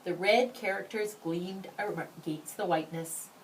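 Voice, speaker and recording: A woman reading a children's storybook aloud in an expressive narration, with deliberate phrasing and strong emphasis. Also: on the low side. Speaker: storybook reader. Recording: slightly distant mic, faint background noise.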